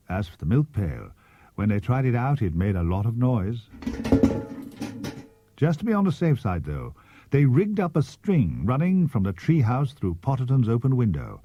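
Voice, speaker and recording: A man reading scripted storybook narration as an audiobook narrator. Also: mid-range voice. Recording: dull cassette transfer with music.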